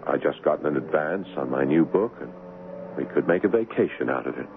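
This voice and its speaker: An actor playing a devoted, sceptical husband with an American accent in a 1970s radio drama. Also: male voice, extremely low pitch, lively intonation, a little fast, a little hiss or hum. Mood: affectionate, light. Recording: tinny 1970s radio, music behind.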